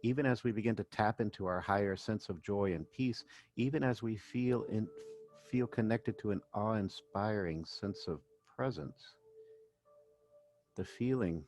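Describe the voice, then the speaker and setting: A man working as a meditation coach, narrating a guided session in gentle, measured phrases with pauses. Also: lowish voice. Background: background music.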